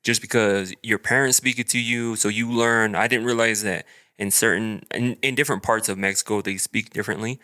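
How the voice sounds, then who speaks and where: Low bright-toned man hosting casually. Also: fairly even intonation, a little fast. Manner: rambling, filler-heavy, friendly. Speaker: podcast host. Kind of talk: casual interview chat.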